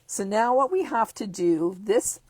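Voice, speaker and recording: A hoarse woman with a croaky voice, chatting upbeat through a card-making tutorial step by step. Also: mid-range voice, animated, a little slow, a little mellow, no room sound. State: hoarse, losing her voice. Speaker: craft demonstrator.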